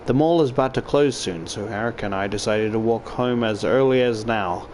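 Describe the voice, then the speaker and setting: A man's voice: lowish voice, brisk, darkish tone, a little hiss or hum. A man reading first-person visual novel narration aloud as a YouTuber.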